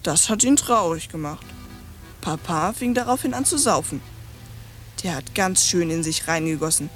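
A woman's voice: on the low side, lively intonation, moderate pace, faint music behind, close to an everyday voice. Radio play: adult actress as brash young girl, precocious and scornful, bossy, slangy chatterbox. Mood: chatty, condescending. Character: cheeky, streetwise, precocious young French girl, bluntly scornful.

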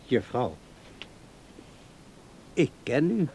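A mellow-voiced lonely old widower in a radio play. He speaks haltingly yet keeps gently pressing, over faint background noise. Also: mid-range voice, very animated, heavily acted. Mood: hesitant, insistent. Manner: halting, searching for words. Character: lonely, hesitant old widowed grandfather, awkwardly insistent. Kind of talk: Dutch radio play acting.

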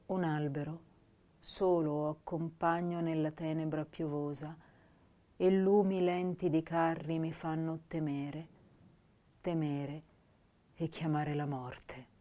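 A woman playing a young, intense, melancholy female poet, reciting literary verse and prose in a measured, solemn way with pauses between phrases. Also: low voice, steady tone, warm tone. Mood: melancholy, grave. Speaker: actress reciting poetry and prose.

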